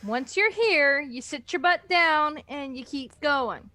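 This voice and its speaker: A woman's voice: high voice, lively intonation, takes it slowly, sharp tone. A woman co-hosting a stream in casual banter, bossy and mock-stern.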